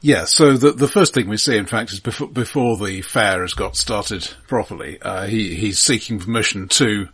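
Slightly husky, lowish-voiced man. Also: normal speed, hardly any pauses, a bit bright. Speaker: podcast co-host. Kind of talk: casual analytical film discussion.

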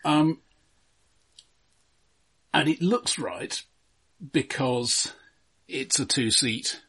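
Guy chatting about films, bit husky, fussy about details, keeps hedging as he goes. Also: medium pitch, drawn-out words, sharp tone. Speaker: film discussion podcast co-host. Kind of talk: casual film discussion.

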